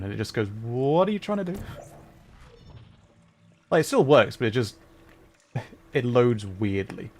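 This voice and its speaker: A man chatting casually on a livestream while commenting on his gameplay, often thinking aloud haltingly. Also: medium pitch, darkish tone. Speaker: livestreamer playing a game. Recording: faint background noise, game ambience.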